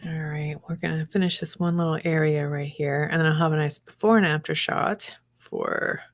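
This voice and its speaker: A woman's voice: low voice, fairly even intonation, moderate pace. Chatty woman host crafting live. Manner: chatty, talks to her artwork, drops to whispers while working. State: losing her voice, clearing throat. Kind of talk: casual live-stream chat while crafting.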